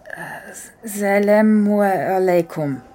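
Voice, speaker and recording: An actress playing a sleepy, put-upon teenage big sister, reluctant and weary, in a radio drama. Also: female voice, medium pitch, very slow, mellow. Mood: hesitant. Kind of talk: German radio drama acting.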